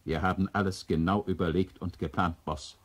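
A man's voice: deep voice, steady tone, normal speed, husky. An actor playing a devoted, eager male robot servant in a 1970 German radio play, deferential and insistent. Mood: eager, reassuring.

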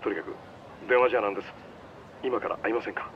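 A man's voice: lowish voice, fast, natural voice. A man voicing a shrewd, polite, easygoing underworld informant in a video game cutscene, explaining things casually over the phone. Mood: casual, cautionary. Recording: dull phone-call sound with street noise.

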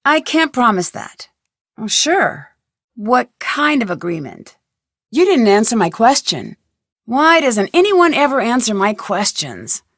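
An actress playing an inquisitive, persistent young woman detective in an adventure game, with clear, earnest, questioning delivery. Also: fairly high pitch, sing-song, measured pace, fairly bright tone, dry recording, studio quality, exaggerated voice. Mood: firm, frustrated. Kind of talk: scripted adventure game voice lines.

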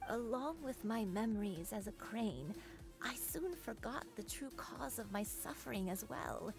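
Elderly grandmother, high voice, gentle, sorrowful, measured dramatic game dialogue, music behind. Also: expressive. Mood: wistful, remorseful. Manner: measured, wistful, confessional delivery.

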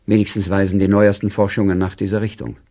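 An actor playing a formal, condescending court chairman, reading out a letter of condolence with stiff, measured courtesy in a radio drama. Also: fairly low pitch, little pitch movement, mellow, close to an everyday voice. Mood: formal sympathy.

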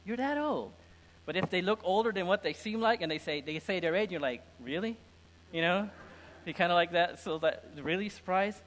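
A middle-aged man preaching a conversational, informal sermon with an animated, humorous manner. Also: high-pitched, moderate pace. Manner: animated, informal, acts out imagined dialogue. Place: indoor church stage with lectern and screen. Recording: reverberant hall sound.

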